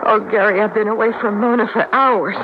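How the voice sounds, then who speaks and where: Actress as worried wife on tinny old radio. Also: female voice, medium pitch, steady tone, brisk, background noise, natural voice. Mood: urgent, exasperated. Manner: urgent, clipped, emphatic. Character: worried, exasperated wife and loyal friend, an actress. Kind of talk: 1970s radio drama acting.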